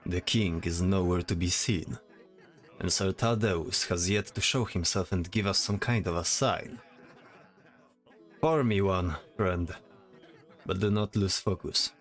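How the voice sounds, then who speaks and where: A man's voice: low voice, measured pace, pauses often, soft background music. A man voicing a stoic, guarded soldier who speaks in a serious, restrained way in a fantasy audio drama. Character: stoic, guarded male soldier focused on his mission.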